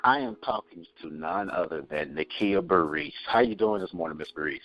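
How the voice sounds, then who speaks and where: A man's voice: a bass voice, brisk, fairly bright tone, dry recording, rough recording. A man hosting an interview series, enthusiastic and telling stories from his own childhood. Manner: enthusiastic, storytelling. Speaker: interview host. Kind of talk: casual interview chat.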